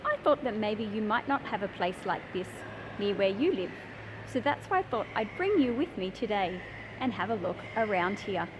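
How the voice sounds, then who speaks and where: A woman's voice: mid-range voice, lively intonation, normal speed. A woman in her thirties presenting and explaining to young readers, speaking expressively and directly with emphatic phrasing. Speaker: host of a children's picture book channel. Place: large industrial hall with old machinery. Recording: clear ambient background noise.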